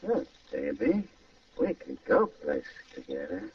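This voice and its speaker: A man's voice: low-pitched, huge pitch swings, a little slow, slightly rough, exaggerated voice. An actor playing a slangy, scheming small-time American gangster and ladies' man in an old-time radio crime drama, wheedling in tough street talk. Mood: eager, scheming. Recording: muffled old radio recording, distant mic.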